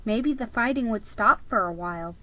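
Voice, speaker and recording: A woman reading a children's story aloud as a dramatic reading, with expressive, emphatic storytelling. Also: fairly high pitch, normal speed, rough recording. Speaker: storybook reader.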